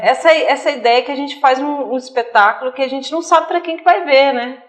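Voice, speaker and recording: A woman's voice: high voice, brisk. Chatty Brazilian woman, echoey room. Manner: chatty, agreeing often. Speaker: theater artist. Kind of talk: casual TV interview. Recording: roomy echo.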